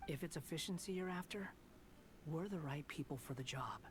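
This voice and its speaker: A man's voice: fairly high pitch, brisk, background noise, natural voice. A man playing a guarded, analytical young informant in a video game. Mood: confident.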